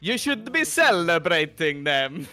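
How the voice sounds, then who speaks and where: A man's voice: a little high, sing-song, takes it slowly, bright, forceful tone, faint music behind. A man from South Africa who hosts a watch-party stream, rambling and swearing freely in casual chat.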